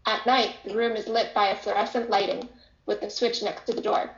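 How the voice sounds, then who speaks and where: Female narrator for a play's stage directions, medium pitch, dull echoey room sound. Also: flat delivery, brisk. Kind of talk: scripted narration.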